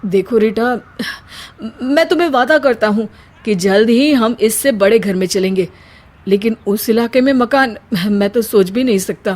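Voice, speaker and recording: A woman voicing a patient, sensible middle-class husband in a family story, speaking in a gentle, placating way. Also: fairly high pitch, normal speed, lightly acted. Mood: worried, reluctant. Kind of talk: dramatised Hindi family storytelling.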